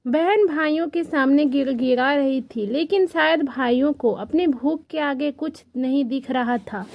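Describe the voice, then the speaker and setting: A woman narrates a children's story from a script. Her voice is high and her pace slow, recorded dry with a slightly dull sound. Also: creaky.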